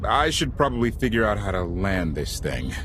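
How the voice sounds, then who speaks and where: An actor playing a cocky, easygoing ship captain with a breezy, joking manner in a video game. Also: male voice, on the low side, sing-song, moderate pace, fairly bright tone, slight background noise. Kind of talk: video game cutscene acting.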